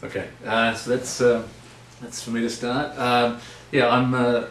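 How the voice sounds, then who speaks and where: A middle-aged man with an Australian accent, a winemaker chatting casually, hesitant, with lots of fillers and restarts. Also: distant mic. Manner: halting, many fillers and restarts, low and flat. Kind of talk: casual chat. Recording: roomy, from off mic, faint noise.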